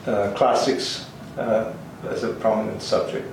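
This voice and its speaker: An older man from Finland who grew up speaking Swedish, Finnish and German, recalling his life in an interview with hesitant, halting speech. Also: lowish voice, steady tone, gravelly. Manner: hesitant, many ums and restarts, chuckles. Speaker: interviewee, linguist. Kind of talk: oral history interview. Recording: roomy, distant, faint hiss.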